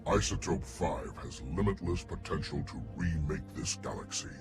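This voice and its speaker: Casting: huge conceited slug-alien genius in a game, bottomless gravelly bass, pompous and condescending. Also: male voice, lively intonation, moderate pace, full character voice. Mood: proud, urgent. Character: haughty male Hutt scientist, huge slug-like alien genius. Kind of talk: video game cutscene acting. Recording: music playing behind.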